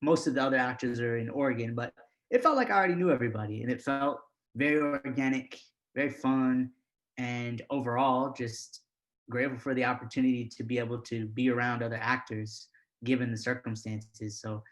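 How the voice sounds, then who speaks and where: A man's voice: medium pitch, steady tone, brisk, rough recording. Male actor reflecting in an interview.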